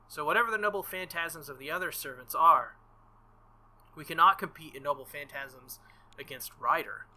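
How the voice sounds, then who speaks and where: A man's voice: a little high, sharp tone. A man streaming a visual novel, reading its dialogue aloud and adding playful, jokey commentary, sometimes stumbling and rereading. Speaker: video game streamer.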